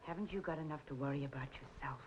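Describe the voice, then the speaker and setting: A woman's voice: rumbling low, quick pace, crowd noise. An actress playing a jaded, jealous woman boss, tough and biting, in old film noir style. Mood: defensive. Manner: sardonic, biting. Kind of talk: film noir melodrama acting, mid-century. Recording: muffled old film soundtrack, faint noise.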